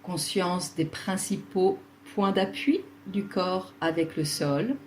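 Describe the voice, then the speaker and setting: A woman leading a guided yoga relaxation, speaking soothingly and leaving long pauses between short instructions. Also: lowish voice, a little mellow, a little hiss or hum.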